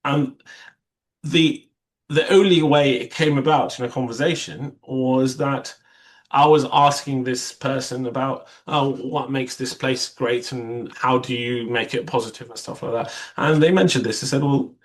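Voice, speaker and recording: A young man whose first language is Arabic talks through his ideas in an interview. His voice sits at a mid pitch, and he pauses and restarts often as he searches for words. He acts out other people's remarks as quotes in passing. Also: steady tone. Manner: hesitant, with frequent restarts and quoted asides. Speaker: vascular surgeon, interview guest. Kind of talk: reflective interview conversation.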